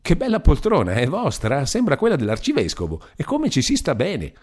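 A man reading aloud and voicing a lively, chattering young widow with a bright, teasing manner. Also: fairly high pitch, fairly quick, slightly rough, voice pushed up, heavily acted.

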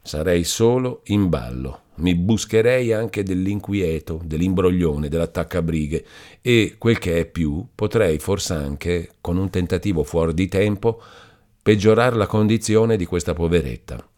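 A man's voice: low voice, a little slow, darkish tone. A man reading an audiobook voices a stern but compassionate older friar who speaks in a grave, admonishing way. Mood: brooding. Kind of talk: audiobook character voice.

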